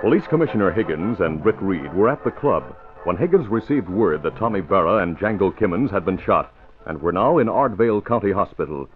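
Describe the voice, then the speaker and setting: A man's voice: sits low, sing-song, a little fast. A man narrating a 1940s radio crime serial in a formal, dramatic storytelling delivery. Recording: muffled old radio recording. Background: dramatic music.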